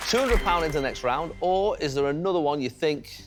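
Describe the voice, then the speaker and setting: A man's voice: fairly high pitch, lively intonation, brisk, some chatter behind. A man with a Manchester accent hosting a TV quiz show, chirpy and encouraging, building suspense over each answer. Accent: Manchester English. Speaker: game show host. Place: TV studio.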